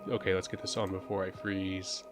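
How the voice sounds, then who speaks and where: Man reading as a wry, low-voiced survivor. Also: flat delivery, a little fast, close to an everyday voice. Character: wary, sardonic male survivor hero of sci-fi game. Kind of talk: reading visual novel dialogue aloud. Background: music.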